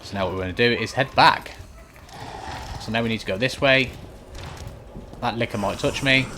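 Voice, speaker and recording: A man's voice: medium pitch, moderate pace, sharp tone. A man giving a casual, matter-of-fact walkthrough of a video game, explaining and reassuring as he goes. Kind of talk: casual instructional gameplay commentary. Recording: clearly noisy home recording.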